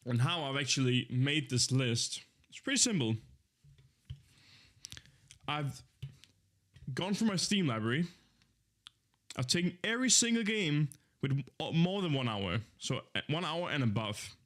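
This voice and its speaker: A man's voice: a little high, expressive, a little slow, long pauses, fairly bright tone. A young man in his twenties who streams and chats casually to his viewers, rambling in an easygoing way and often correcting himself. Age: 20s. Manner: rambling, easygoing, with many fillers and self-corrections. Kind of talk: casual live-stream chat.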